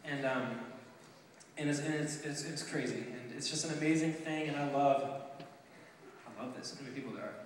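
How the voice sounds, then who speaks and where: A nineteen-year-old man from Romania giving an earnest, halting testimony to an audience, with many ums and restarts. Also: mid-range voice, background noise. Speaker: speaker telling his adoption story. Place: large hall with an audience. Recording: echoey hall from far off.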